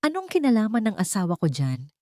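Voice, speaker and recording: A woman's voice: medium pitch, wildly swooping pitch, quick pace, a little mellow, no echo, studio quality, pitched above the performer's own voice. A woman with a Filipino accent voicing a serious, protective wealthy husband who speaks directly, in a narrated story. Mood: guarded. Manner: direct, questioning.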